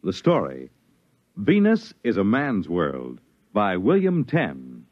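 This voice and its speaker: A man's voice: on the low side, wildly swooping pitch, takes it slowly. A man announcing a 1950s radio drama in a grand, portentous style. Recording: dull vintage 1950s radio recording. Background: dramatic music.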